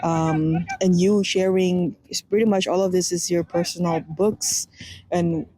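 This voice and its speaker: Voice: medium pitch, fairly even intonation, unhurried, warm tone, no echo. A radio interviewer of Filipino heritage asking long, rambling questions full of fillers.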